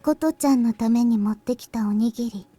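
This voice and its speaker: A small, deadpan girl scientist from a Japanese visual novel, voiced by a woman. Her voice is very high and mellow. She speaks tersely and with some hesitation, in an understated way. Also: racing, close to an everyday voice. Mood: soft, reflective.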